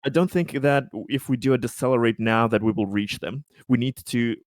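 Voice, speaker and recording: A man with an average-pitched voice talks casually as a player at a tabletop game. He sounds tentative, working out options aloud, recorded close and dry. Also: brisk, dry recording.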